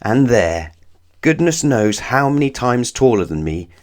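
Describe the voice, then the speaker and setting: A man narrating a children's storybook in an expressive, scripted read-aloud style. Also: medium pitch, a little slow. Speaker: storybook reader.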